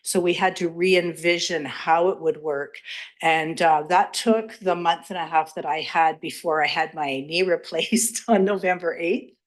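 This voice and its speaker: A woman with a big, loud, lowish voice presents to a council as a community group's president. She speaks chattily and haltingly, with plenty of ums and restarts. She is in a council chamber. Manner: chatty, halting, with many fillers. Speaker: society president giving a delegation.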